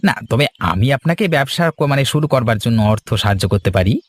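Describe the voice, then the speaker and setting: A man's voice: mid-range voice, expressive, a little fast, no room sound, close to an everyday voice. A man voicing a greedy, sly village trader in a cartoon fairy tale, with a glib, persuasive, scheming way of talking. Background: background music.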